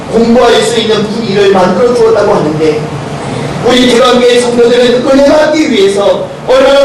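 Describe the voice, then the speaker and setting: Hoarse man, senior pastor: loud and preachy, throws questions at the pews. High-pitched and booming through a very echoey sanctuary, heard from a distance. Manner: preaching loudly with rising intensity, asks the congregation questions. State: hoarse from a cold. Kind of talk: sermon and closing prayer. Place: church sanctuary. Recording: very echoey church hall recorded from a distance with noise.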